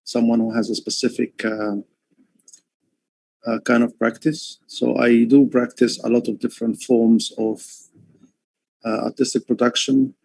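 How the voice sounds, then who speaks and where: A man from Egypt speaks slowly and haltingly, filling his pauses with "um" and "uh" as he explains his art. His voice has a gravelly edge, and the sound is dry and close, with no room echo. He talks over a headphone setup on a live call. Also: medium pitch, darkish tone. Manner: hesitant, many fillers and restarts. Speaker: artist. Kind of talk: livestream talk.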